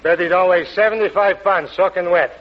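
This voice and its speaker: Casting: male actor as exasperated, tight-fisted restaurant boss, high-voiced wisecracker, old radio comedy, muffled sound. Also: steady tone, fairly quick, close to an everyday voice. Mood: grumbling, sarcastic. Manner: colloquial ungrammatical wisecracking complaints. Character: grumbling, miserly restaurant owner, wisecracking, exasperated. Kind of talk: 1940s radio comedy acting.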